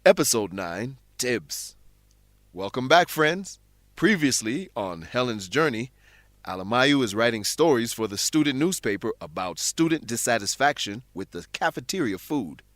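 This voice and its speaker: A man narrating a scripted introduction to a radio drama in a clear, presentational way. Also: medium pitch, big pitch swings, normal speed, a bit bright, no echo.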